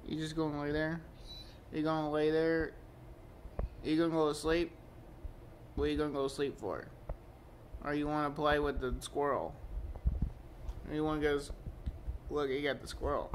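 Guy with a kinda high voice doing a homemade pet vlog, coaxing, asks stuff over and over, bit of noise. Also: little pitch movement, moderate pace, long pauses. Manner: coaxing, repetitive questions to his pet. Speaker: pet vlogger. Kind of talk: casual chat to camera and dog. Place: indoors at home, hallway and carpeted room. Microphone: handheld phone or camera behind the lens. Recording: noisy amateur home recording.

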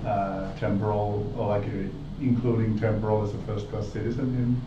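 A man's voice: lowish voice, flat delivery, moderate pace. A man from Finland, a native Finnish speaker, giving an informal self-introduction at a meetup. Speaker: meetup attendee. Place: indoor meetup room. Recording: distant, muffled, echoey, noisy meeting room.

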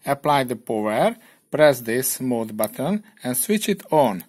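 Hungarian-accented man in a mid register, plain and a little halting, walking viewers through a kit build. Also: mid-range voice, sing-song, a little mellow. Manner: plain, slightly halting non-native English. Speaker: electronics kit reviewer. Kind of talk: hobby electronics tutorial voice-over.

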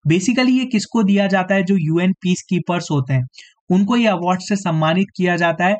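A man teaching a live online exam-prep quiz, slightly hoarse, clearing his throat now and then and tagging his sentences with a question of agreement to his students. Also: sits high. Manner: repeats "theek hai" as a tag, addresses students directly.

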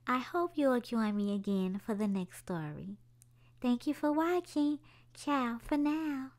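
Slow, animated woman reading a children's story. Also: fairly high pitch. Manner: expressive, addressing young children. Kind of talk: children's picture book read-aloud.

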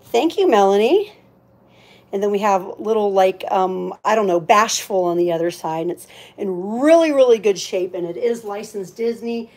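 A woman's voice: medium pitch, lively intonation, moderate pace, a little mellow. A woman hosting a live auction in casual, chatty talk, thinking aloud as she handles items. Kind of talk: casual livestream sales chat.

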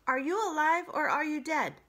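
A woman as a calm, literal female robot. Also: high voice, voice pushed up. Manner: measured, plain, formal without contractions.

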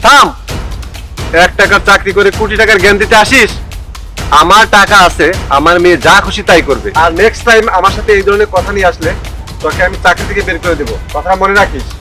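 A man plays a domineering wealthy boss and father in a drama. His voice is high, fast and sharp as he scolds. Faint music sits behind. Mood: scornful. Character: haughty rich father, domineering boss indulging his daughter. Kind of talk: web drama acting.

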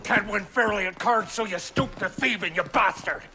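A hot-tempered blacksmith from a video game, blusters with indignant menace. His voice sits high with a gritty creak, over clearly noisy audio. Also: normal speed. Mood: furious. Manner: angry, blustering, loud. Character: hot-tempered, vengeful male blacksmith accusing a thief. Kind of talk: video game cutscene acting.

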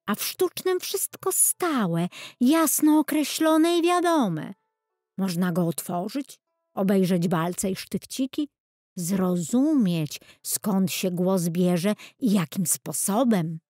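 A woman's voice: mid-range voice, animated, slow, a little mellow, no echo, studio quality, soft background music. A woman voicing a pompous, self-assured male court bandmaster who lectures emphatically in a children's storybook. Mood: pompous lecturing.

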